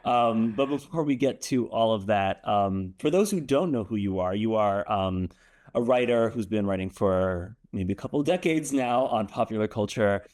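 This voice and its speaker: A man hosting an interview, asking questions in a relaxed, conversational way. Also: on the low side, moderate pace. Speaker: interview host. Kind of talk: casual interview talk.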